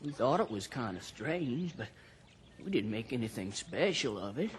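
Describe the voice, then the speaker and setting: A man's voice: mid-range voice, very animated, moderate pace, natural voice. An actor playing a folksy, earnest fisherman in an old radio drama, hesitant and rustic, repeating his words, on a noisy recording. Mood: puzzled.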